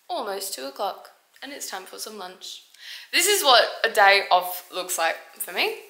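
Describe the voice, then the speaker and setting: A woman's voice: medium pitch, gravelly, sharp tone. A woman in her twenties with an Australian accent, chatting casually and informally to camera in a rambling home vlog. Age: 20s. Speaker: vlogger, fitness trainer. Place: indoors at home, kitchen and other rooms. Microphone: camera mic, from arm's length to a few steps away. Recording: echoey room with faint noise and clicks.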